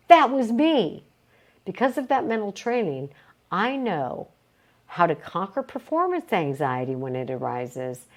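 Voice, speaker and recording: A woman reading a motivational self-help audiobook aloud in a deliberate, emphatic, coaching way. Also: medium pitch. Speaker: audiobook reader.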